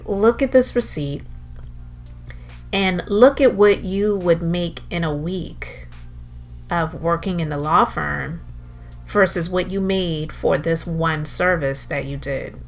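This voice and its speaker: A woman telling a personal motivational story in an earnest way, with deliberate, emphatic repetition. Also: fairly low pitch. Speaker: entrepreneur telling her story. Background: background music.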